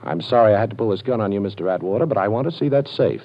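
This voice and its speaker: A man playing a calm, dryly amused detective with an ex-con past in a vintage radio drama. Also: lowish voice, very fast. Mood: cool. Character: cool wry ex-con detective, male adult, posing. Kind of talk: 1940s radio acting. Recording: dull old radio broadcast.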